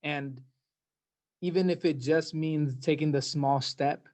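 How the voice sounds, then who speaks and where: A man sharing a heartfelt personal reflection with a group in a halting, thoughtful way. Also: a little high, fairly even intonation, darkish tone. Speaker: monologue writer and panel participant.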